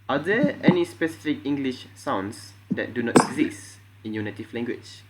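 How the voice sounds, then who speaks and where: Soft-spoken young man interviewing. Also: mid-range voice, animated, normal speed, very noisy. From Malaysia. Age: young adult. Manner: soft-spoken, many "I see" acknowledgements. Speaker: student interviewer. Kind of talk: class presentation interview.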